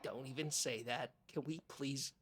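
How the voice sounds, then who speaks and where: A woman playing an anxious, squeamish co-host on an improvised comedy podcast, halting and husky, too prim to swear. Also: extremely low pitch, a little hiss or hum. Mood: frightened, halting.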